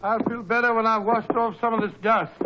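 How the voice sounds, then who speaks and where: An actor playing a grumbling, skeptical English gentleman in an old radio drama, blustering and indignant, with a British accent. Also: high-pitched, fairly even intonation, fairly quick, natural voice. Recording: noisy old radio recording.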